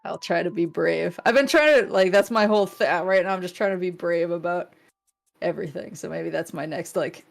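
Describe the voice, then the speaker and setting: A woman's voice: lowish voice, vocal fry. A woman guest on a chat show who talks casually, laughing often and restarting her sentences. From New England. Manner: giggly, halting, many restarts. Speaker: guest vtuber.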